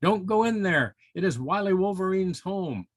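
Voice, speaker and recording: Man reading as a grave mountain animal monarch, husky. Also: high voice, animated, normal speed, dry recording, clean studio sound, voice pushed up. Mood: commanding. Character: grave, commanding male mountain monarch of the high rocks. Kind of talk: children's storybook reading.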